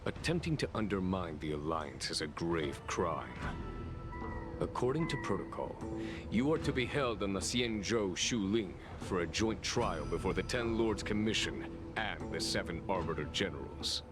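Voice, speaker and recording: A man's voice: sits low, lively intonation, normal speed. An actor playing a stern official who formally informs a prisoner of his fate, cold and faintly menacing. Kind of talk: game voice acting. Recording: game music behind.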